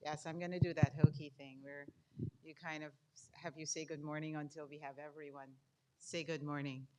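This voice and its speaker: A woman's voice: low-pitched, flat delivery, moderate pace, heavy background noise. An older woman with a Guyanese accent giving an informal talk as a guest speaker, putting questions to her audience. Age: 50s or older. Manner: interactive, puts questions to the audience. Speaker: guest speaker, dentistry professor.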